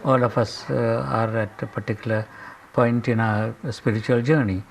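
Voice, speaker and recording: An older man from Sri Lanka with a Sri Lankan accent, a professor speaking earnestly and in measured phrases with frequent pauses, lecturing and reflecting on his own life in a classroom. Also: medium pitch, steady tone, creaky, low-quality recording.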